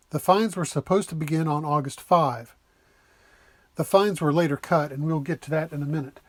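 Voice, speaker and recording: Male lecturer, slightly high voice, close dry sound, methodical plain-spoken explainer read. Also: dry recording. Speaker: lecturer explaining a legal case.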